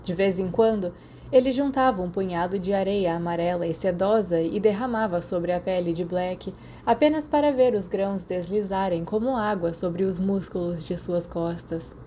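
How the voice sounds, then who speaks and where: A woman's voice: on the low side, normal speed, mellow, slight background noise. A woman with a Brazilian accent reading a story aloud as an audiobook narrator. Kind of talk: scripted fiction narration.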